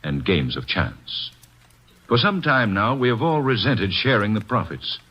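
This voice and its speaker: An actor playing a formal, pompous club owner who hosts a card game, in a 1950s radio drama, heard on a dull lo-fi old radio recording. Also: male voice, fairly low pitch, very animated, measured pace, slightly rough, exaggerated voice. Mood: poised. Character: smooth, formal middle-aged club owner.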